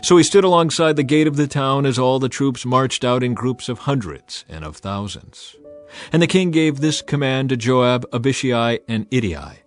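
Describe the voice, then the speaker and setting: A man reading scripture as a dramatized audiobook narration, measured and emphatic in his storytelling. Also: mid-range voice, lively intonation. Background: music under the voice.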